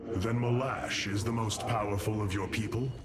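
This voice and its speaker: A man playing a noble, resolute alien commander in a video game, speaking in a formal, grave and measured way. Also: fairly low pitch, fairly even intonation, noisy background, lightly acted. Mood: wary, resolute. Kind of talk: video game cinematic voice acting.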